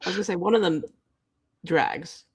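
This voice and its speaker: A woman's voice: on the low side, a little fast, husky. A woman co-hosting a book discussion, chatting casually and informally. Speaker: book readalong co-host. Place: online livestream.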